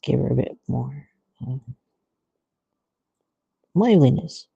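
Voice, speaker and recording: A woman with a very soft-edged, dark voice and a creaky fry talks through her art on a casual livestream. She muses aloud and lets thoughts trail off. The sound is close and dry. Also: extremely low pitch, lively intonation, slow, dry recording, lo-fi sound. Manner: musing, trailing off mid-thought. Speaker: artist streamer thinking aloud while drawing.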